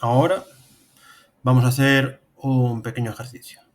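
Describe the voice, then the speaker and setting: A man's voice: medium pitch, steady tone, moderate pace. A man in his thirties with an accent from Spain, giving step-by-step tutorial narration as an instructor. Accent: Spanish from Spain. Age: 30s. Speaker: programming instructor. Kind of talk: tutorial narration over screen demonstration.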